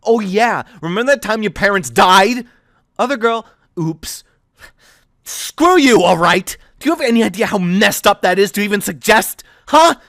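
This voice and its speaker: A sharp-tongued young woman with a raised voice, mocking and confrontational, as a man puts her on. She talks in a bright, lifted register, and the sound is dry and close. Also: on the high side, normal speed, dry recording, pitched above the performer's own voice, natural voice. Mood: bitter sarcasm. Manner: mocking, confrontational, biting sarcasm. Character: bitter, sarcastic young woman, quick to anger.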